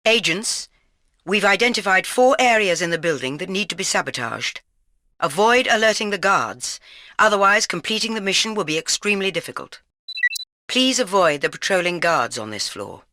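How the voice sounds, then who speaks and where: Crisp, forceful British spy chief. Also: female voice, medium pitch, very animated, moderate pace, slight background noise. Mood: stern, composed. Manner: clipped, commanding, formal. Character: stern, authoritative female British spy chief. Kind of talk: video game mission briefing.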